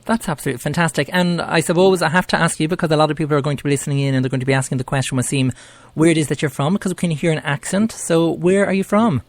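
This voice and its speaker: An Irish man hosting a call-in show, upbeat and quick to praise. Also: on the high side, steady tone, no room sound. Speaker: host.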